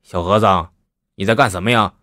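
A gruff, blustering brute of a man, played by a male storyteller. He sounds low and heavy and is recorded dry and close. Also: moderate pace, bright, forceful tone, no echo. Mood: puzzled. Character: burly, brash bully of a man.

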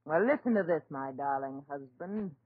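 An actress playing a spiteful wife in 1930s radio horror style, biting and melodramatic. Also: female voice, sits low, animated, normal speed, breathy edge. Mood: cold, gloating. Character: spiteful, scornful wife, screeching and cruel. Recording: tinny, muffled 1930s radio broadcast.

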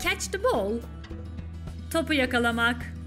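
A woman's voice: high-pitched, animated, measured pace, a bit bright, faint music behind. A Turkish woman narrating a scripted English lesson as a teacher, with careful, instructive enunciation.

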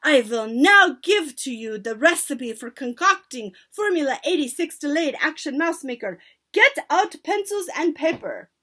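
A woman voicing an imperious, scornful Grand High Witch who commands her followers in stilted grammar, as in a storybook. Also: big pitch swings, normal speed, heavily acted. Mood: commanding, menacing. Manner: commanding, shouted declarations in stilted foreign-sounding grammar.

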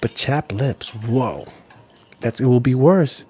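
A middle-aged man casually chatting with viewers on an ASMR live stream, drifting between soft murmured talk and whispers close to the mic. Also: mid-range voice, takes it slowly, slightly rough. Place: small indoor gaming room. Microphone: handheld-style recorder mic close to the mouth.

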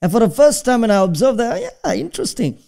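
Pastor, highish voice, chatty preaching style in church. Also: male voice, very animated, fast, vocal fry, warm tone. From Singapore. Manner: conversational preaching, asks the audience questions. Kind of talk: sermon. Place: church stage with pulpit.

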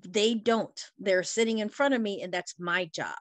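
A woman's voice: mid-range voice, moderate pace, no room sound. Woman from Costa Rica, teacher, earnest with many fillers, dry video call sound. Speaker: Spanish language arts teacher. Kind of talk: webinar discussion.

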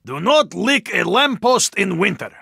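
Casting: huge courteous yeti super-agent, video game. Thick-textured gravelly voice, Russian accent, formal phrasing, very animated pitch. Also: male voice, takes it slowly, no echo, clean studio sound, full character voice. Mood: earnest, dry. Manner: formal, stilted phrasing with dropped articles. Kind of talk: video game character voice lines.

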